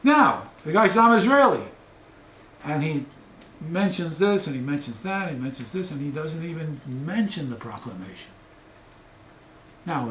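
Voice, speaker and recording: An older man, a professor, gives an animated, lecture-style philosophical talk into a headset mic in a small study, often trailing off into quieter asides. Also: fairly high pitch, echoey room, a little hiss or hum, poor audio. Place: small study with bookshelves, indoors.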